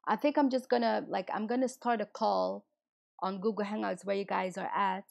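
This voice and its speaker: A hoarse woman who is losing her voice hosts a livestream and rants indignantly, firing rhetorical questions at her viewers. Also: medium pitch, steady tone, normal speed. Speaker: livestream host. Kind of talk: heated casual livestream rant.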